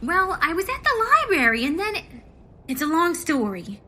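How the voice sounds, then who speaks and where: A woman's voice: extremely high pitch, very animated, moderate pace, bright, forceful tone, slight background noise, exaggerated voice. A woman voicing an eager, determined young female would-be police officer, earnest and peppy, in a comedic audio drama.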